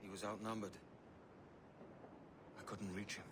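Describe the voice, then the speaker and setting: An actor playing a hardened young pirate captain who speaks bluntly and curtly. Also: male voice, lowish voice, flat delivery, unhurried, background noise, natural voice. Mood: grim, low. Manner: blunt, curt and commanding. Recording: game score under the voice.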